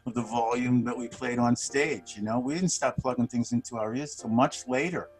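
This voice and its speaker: A man in his sixties, a studio manager and bass player, chatting casually on a video call, rambling with many restarts and chuckles. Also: moderate pace, slightly rough, soft background music, poor audio. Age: 60s.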